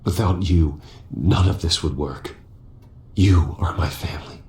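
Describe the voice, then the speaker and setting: A man's voice: deep voice, measured pace, slight background noise. An actor playing an earnest, resolute wealthy man with a brooding side in a video game drama, restrained and sincere. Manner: restrained, sincere, quietly determined. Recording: roomy sound with faint music.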